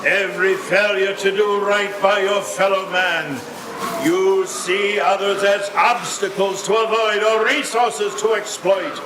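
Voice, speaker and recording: A man's voice: high-pitched, fairly even intonation, unhurried. A man playing the mournful chained ghost of a dead businessman in a live radio play, with a solemn, theatrical delivery. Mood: anguished, impassioned. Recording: roomy live stage with clear noise.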